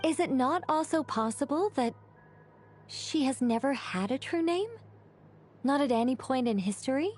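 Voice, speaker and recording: Self-assured young woman, higher-pitched. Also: lively intonation, normal speed, darkish tone, faint music behind. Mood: composed, explanatory. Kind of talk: video game dialogue.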